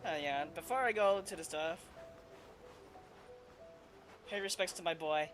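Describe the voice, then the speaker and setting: A woman casually commenting while playing a video game, with offhand, halting asides. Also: fairly low pitch, faint music behind. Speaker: gamer streamer. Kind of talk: casual commentary over gameplay.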